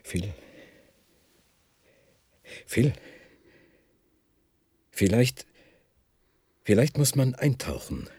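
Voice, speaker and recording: A man acting an unsettled, condescending professor in a German radio drama, speaking haltingly and deliberately with a husky voice. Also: mid-range voice, sing-song, dark tone, no echo, exaggerated voice. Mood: agitated, stammering. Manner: halting, deliberate, stammers when agitated. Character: unsettled, condescending professor of physics, slipping into confusion. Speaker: radio play actor.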